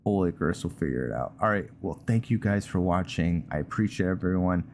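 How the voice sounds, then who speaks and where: A low-pitched man talks through his roleplay playthrough in a casual, halting way. He sounds like a gaming channel host at his desk, with faint noise behind him. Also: expressive, a little mellow. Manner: hesitant, halting delivery. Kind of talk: casual gameplay roleplay commentary, reading game notes aloud.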